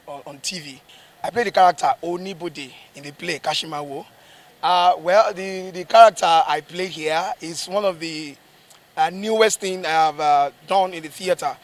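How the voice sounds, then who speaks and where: A man with a Nigerian accent, a stage actor giving a reflective interview, emphatic and repeating words for effect. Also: fairly high pitch.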